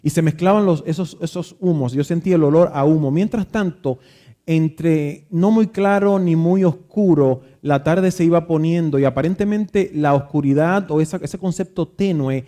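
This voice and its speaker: A man with a Dominican accent, reading scripture aloud and then telling a reflective story about growing up, pausing and restarting now and then. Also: a little high, mellow. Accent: Dominican Spanish. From Dominican Republic. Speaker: preacher. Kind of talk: Bible reading and devotional talk.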